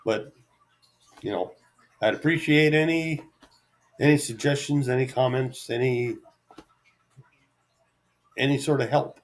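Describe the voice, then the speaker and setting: A middle-aged man talks off the cuff to his camera in an average-pitched voice. His delivery is easygoing and halting. He gives an unhurried, self-deprecating ramble rather than a polished script. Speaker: vlogger. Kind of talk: unscripted solo ramble to camera.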